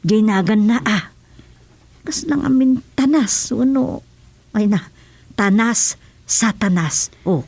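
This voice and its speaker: A woman's voice: mid-range voice, slow, creaky, long pauses, darkish tone, slight background noise. A woman with an Ilocano accent playing a grumbling village mother in a radio comedy-drama. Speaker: actor in a radio serial.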